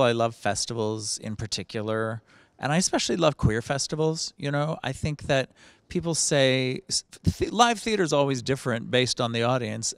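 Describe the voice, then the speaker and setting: A man's voice: medium pitch, vocal fry, dry recording. A man in his forties, a film director from Canada, talking candidly and animatedly on a handheld mic in a big festival lobby. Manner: candid, animated, gesturing as he talks. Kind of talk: casual panel conversation. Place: large bright festival lobby.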